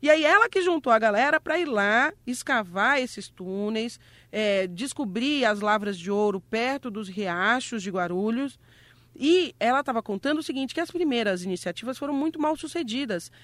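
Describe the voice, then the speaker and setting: A woman's voice: a little high, expressive, moderate pace, fairly bright tone, no echo. A woman from Rio de Janeiro who grew up in Salvador, hosting a cheerful chat and explaining local history, laughing often. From Rio de Janeiro, raised in Salvador. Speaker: host. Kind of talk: light educational radio chat.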